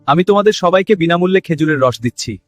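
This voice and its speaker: A man's voice: on the high side, animated, quick pace, faint music behind, close to an everyday voice. A man voicing a generous, kindly village sap seller in a horror cartoon, speaking expressively. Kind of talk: animated horror cartoon acting.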